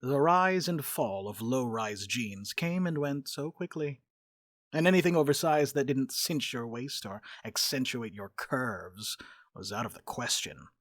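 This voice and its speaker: A man narrating a dramatic reading as a storyteller, with expressive, scripted delivery. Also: mid-range voice, unhurried, no echo, studio quality.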